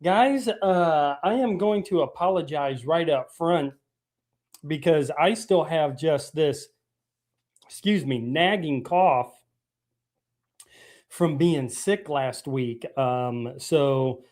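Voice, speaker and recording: Male live-stream host with a lingering cough, slightly froggy, on the higher side, rambling with fillers. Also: slow, a little mellow. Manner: rambling, with many ums and restarts. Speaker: fishing show host. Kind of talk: live stream show talk.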